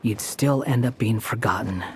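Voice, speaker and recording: A man's voice: lowish voice, moderate pace, a little mellow, slight background noise, close to an everyday voice. A man voicing a curt sarcastic young wanderer. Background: game music.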